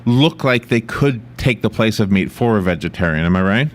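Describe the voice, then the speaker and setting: A man's voice: on the low side, animated, normal speed. A man from the United States hosting a local radio interview, chatty and teasing, with many restarts. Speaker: radio host.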